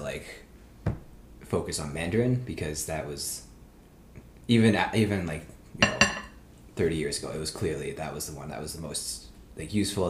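Laid-back male host, very low voice, rambling delivery; echoey room with faint noise. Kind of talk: casual chat.

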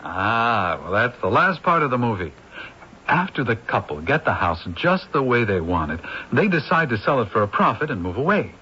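A man's voice: medium pitch, sing-song, moderate pace, pushed and bright. An actor playing an affable male movie crew member who explains things in a radio drama. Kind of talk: 1970s radio drama acting. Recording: dull old radio recording, faint hiss.

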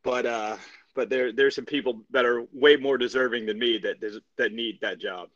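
A man co-hosting a wrestling podcast, chatting casually about sport in a halting way, repeating phrases as he searches for words. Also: medium pitch, fairly even intonation, a bit bright, no room sound, muffled recording. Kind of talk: casual sports recap chat.

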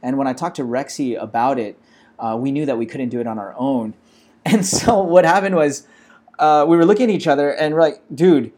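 An American man in his forties, a startup founder telling his story in a relaxed interview, full of "you know" and "uh". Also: medium pitch, brisk, slight background noise. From Los Angeles, USA.

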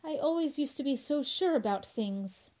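A woman's voice: high voice, animated, moderate pace, mellow. A woman playing a frightened, fragile young woman in a radio horror drama, anxious and devoted, pleading breathlessly and repeating herself. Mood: uneasy, unsure.

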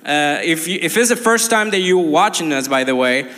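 A man's voice: a little high, animated, moderate pace, sharp tone. A young man from Colombia, a native Spanish speaker with a Colombian accent, preaching an earnest sermon full of restarts. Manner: earnest, many restarts and fillers. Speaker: pastor. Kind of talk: livestreamed sermon. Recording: long hall reverb.

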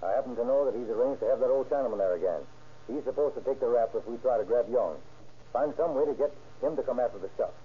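An actor playing a commanding, matter-of-fact police chief in a 1930s radio drama. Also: male voice, medium pitch, quick pace, lightly acted. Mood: brisk, decisive. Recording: tinny, hissy old-time radio recording.

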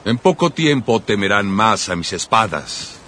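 A proud, sardonic warrior king in an epic film dub. His voice is very deep and his delivery slow and dryly defiant. Faint soundtrack noise sits behind him. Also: very animated, pushed and bright, exaggerated voice. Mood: proud defiance. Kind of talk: dubbed epic film acting. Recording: noisy film soundtrack clip.

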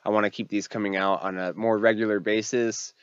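A man hosts a faith podcast as its pastor, sick and congested, so his voice comes out nasal and a little low. He talks in a loose, rambling way, full of fillers and restarts. The recording is dull and lo-fi, with a dry sound. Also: level tone, brisk, clear, resonant tone, no echo. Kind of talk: casual faith podcast talk.

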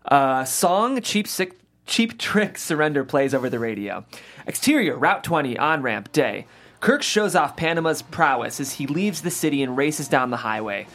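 Animated male host with lively pitch. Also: moderate pace. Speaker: host, reader of stage directions. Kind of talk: casual podcast hosting and reading script directions.

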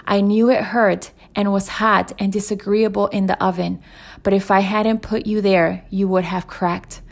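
Woman as a patient master potter, creaky. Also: medium pitch, level tone, moderate pace, natural voice. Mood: gently explaining. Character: patient male master potter, gentle and firm. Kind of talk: inspirational parable read aloud.

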